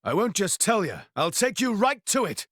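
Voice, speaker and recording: A man's voice: high-pitched, big pitch swings, normal speed, fairly bright tone, dry recording, clean studio sound, heavily acted. An actor voicing a boastful, brash young man with a show-off manner. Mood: cocky. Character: cocky young male prince, brash show-off.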